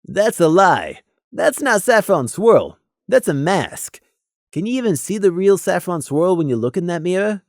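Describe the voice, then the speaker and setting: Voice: medium pitch, very animated, unhurried, dry recording, pitched above the performer's own voice. A narrator as a serious, blunt stallion guard, dry sound. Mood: blunt, grave. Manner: blunt, patient, without accusation.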